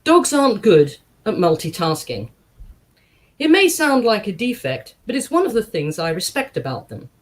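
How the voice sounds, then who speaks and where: A woman reading aloud to a congregation in an expressive style, with measured, deliberate phrasing and emphatic pauses. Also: fairly low pitch. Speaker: guest reader at a synagogue service. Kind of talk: expressive book reading with short spoken introduction.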